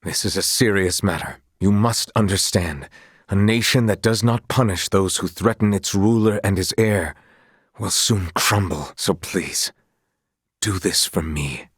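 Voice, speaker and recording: Young noble knight, low husky voice, earnest, guilt-ridden, courtly archaic diction, fantasy game drama. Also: male voice, unhurried, dry recording, studio quality. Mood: somber, penitent. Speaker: voice actor in a video game.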